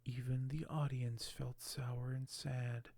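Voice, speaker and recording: Male ASMR creator, hushed and whispery, crackly low-energy fry, very dry close-mic sound, slow halting reading. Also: little pitch movement, dry recording. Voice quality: hushed, soft, often whispered. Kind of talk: ASMR unboxing and reading aloud. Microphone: close microphone.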